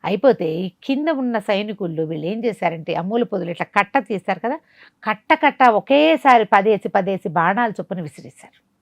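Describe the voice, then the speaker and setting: Woman telling a kids bedtime story, dramatic n chatty, asks questions as she goes, mid pitch, dry sound. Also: expressive, brisk, no echo. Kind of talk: animated oral storytelling, conversational bedtime tale.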